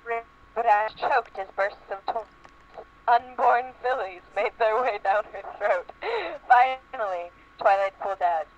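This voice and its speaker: A woman's voice: a little high, a little slow. A woman narrating fan fiction as a comedic dramatic reading, voicing bits of dialogue along the way. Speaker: reader. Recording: lo-fi radio-like sound, faint noise.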